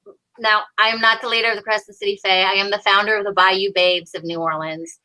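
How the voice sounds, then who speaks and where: A woman with a bright, sharp voice chats as a guest over a video call. Her talk is informal and halting, with a self-deprecating streak. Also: mid-range voice, fairly even intonation, rough recording. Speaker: guest performer on the show.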